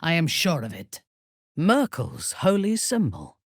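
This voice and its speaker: A haughty, dangerous warrior woman from a fantasy video game. Her voice is husky and breathy, recorded very dry and close. She speaks with imperious disdain and wide, expressive swings of pitch, every formal phrase carrying cold menace. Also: unhurried, no echo, heavily acted. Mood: scornful, measured. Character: haughty, menacing female warrior and former cultist, cold and commanding. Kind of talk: video game character voice lines.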